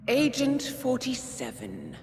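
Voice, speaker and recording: An actress playing a cold, calculating intelligence handler in a video game, speaking in a measured, deliberate way. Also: female voice, high voice, wildly swooping pitch, darkish tone, big-room sound, slight background noise, full character voice. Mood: cold taunting. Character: cold, calculating female handler betraying her assassin. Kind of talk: dramatic video game cutscene acting.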